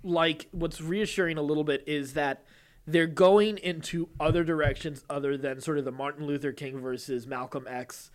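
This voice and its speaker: A man's voice: fairly high pitch, steady tone, normal speed. A man co-hosting a comics news podcast, chatty and casual, moving between banter and news items.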